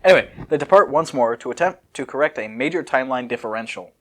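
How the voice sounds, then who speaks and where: A man's voice: mid-range voice, expressive, quick pace. A man reading a fan fiction outline aloud as a podcast host, breaking off often to comment and joke.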